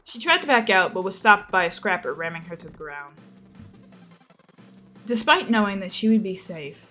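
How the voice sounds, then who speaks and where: A woman's voice: medium pitch, fairly bright tone. Woman narrating a story over music. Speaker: narrator of a fan fiction reading. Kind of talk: dramatic scripted narration.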